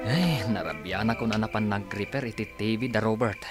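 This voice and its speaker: A man's voice: lowish voice, fairly quick, loud music behind the voice, close to an everyday voice. A man playing a concerned, chatty village neighbor in a radio drama, given to muttering. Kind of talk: Ilocano comedy radio play acting. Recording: clearly noisy, insect chirps behind.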